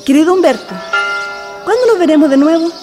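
A woman's voice: extremely high pitch, lively intonation, brisk, music in the background. A woman playing an emotional, pleading young woman in love in a Chilean radio drama. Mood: tender, yearning. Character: lovelorn young women, tearful sweetheart and her concerned friend.

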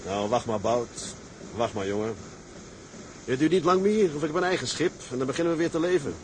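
A man's voice: mid-range voice, lively intonation, a little fast, darkish tone, a little hiss or hum, close to an everyday voice, low-quality recording. A man playing a resolute, dreamy tug captain in a seafaring drama, speaking in an earnest, declamatory way. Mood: determined. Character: resolute, dreamy male tug captain set on owning a ship. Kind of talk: dramatised seafaring story, scripted acting.

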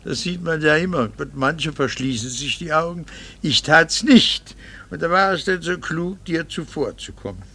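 An actor playing an embittered, coldly vengeful middle-aged husband in a radio play, sarcastic, theatrical and precisely articulated. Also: male voice, fairly high pitch, expressive, normal speed, sharp tone, slightly rough, full character voice. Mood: coldly triumphant. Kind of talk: German radio play acting.

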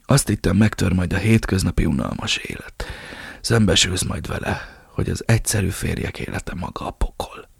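A man voicing a dying older crime boss who speaks in a weak, breathy near-whisper. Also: sits low, no room sound, clean studio sound, heavily acted. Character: dying old male crime boss, proud.

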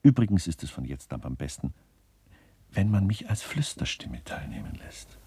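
An actor playing a wry, eccentric playwright dictating stage directions in a deliberate, articulate radio play reading. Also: male voice, very deep voice, big pitch swings, vocal fry, dark tone, husky, no echo, full character voice. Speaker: speaker reading the author's part.

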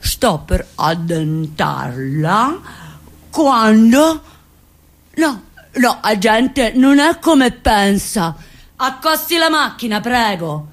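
A woman performing a theatrical first-person comic monologue, telling her story in an expressive, dramatic way. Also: fairly high pitch, extremely slow. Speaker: stage actress performing a monologue.

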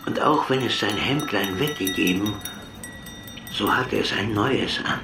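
A man's voice: on the low side, unhurried, background noise. A man giving a scripted recitation of old fairy tales and rhymes. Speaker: reader of tales and verse. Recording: roomy, distant from the mic. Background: music.

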